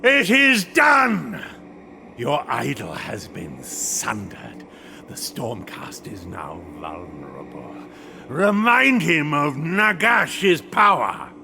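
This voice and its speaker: An actor playing a grim, imperious undead knight warlord in a video game cutscene, theatrical, commanding and menacing. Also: male voice, on the high side, huge pitch swings, takes it slowly, vocal fry, pushed and bright, slight background noise, heavily acted. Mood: imperious, rallying.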